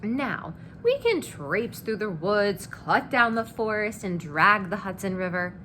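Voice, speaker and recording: Woman reader as a brash male giant killer from a children's book, breezy and swaggering, swooping pitch, noisy room. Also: big pitch swings, measured pace, pushed and bright. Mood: cocky, instructive. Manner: boastful, breezy, persuasive. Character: cocky, greedy, scheming man, a storybook giant killer. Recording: clear background noise.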